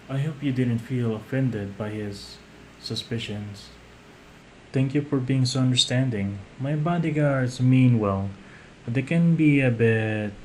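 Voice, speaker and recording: A man playing a young mafia heir in an audio roleplay, measured and polite on the surface, condescending and manipulative underneath. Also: medium pitch, close to an everyday voice. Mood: polite, soothing. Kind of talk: scripted audio roleplay addressed to the listener. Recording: roomy echo, some background noise.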